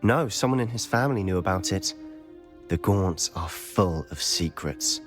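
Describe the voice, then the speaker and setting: A man's voice: sits low, expressive, normal speed, music in the background. A young man playing a confident, secretive student in a game cutscene, eager and conspiratorial. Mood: confiding.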